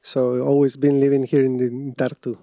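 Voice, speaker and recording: A man from Rica, a master's student answering interview questions in measured, slightly formal English. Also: mid-range voice, completely flat delivery, mellow, dry recording, studio quality. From Costa Rica.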